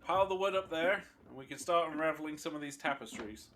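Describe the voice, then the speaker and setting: A man's voice: on the high side, fast, fairly bright tone, natural voice. Man playing a callous resistance fighter, noisy recording. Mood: gruff reminiscing. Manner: dismissive, curt. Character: hardened, callous male resistance fighter, cynical and ruthless. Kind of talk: audio drama acting.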